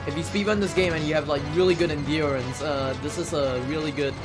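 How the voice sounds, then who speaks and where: A man's voice: a little high, fairly even intonation, quick pace. A man giving casual, chatty live commentary while playing a video game as a speedrunner. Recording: game music behind the voice. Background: video game music.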